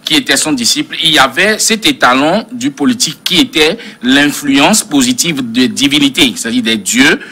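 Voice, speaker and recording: A man's voice: mid-range voice, expressive, normal speed, few pauses, bright, forceful tone, husky. A man speaking as a panel analyst in a political debate, professorial and long-winded with learned references.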